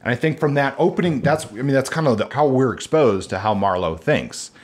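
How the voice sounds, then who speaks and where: A man's voice: mid-range voice, lively intonation, a little fast. A man co-hosting a podcast, talking through a detective novel in a casual, thoughtful way and reading passages aloud. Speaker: podcast co-host. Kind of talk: casual book discussion.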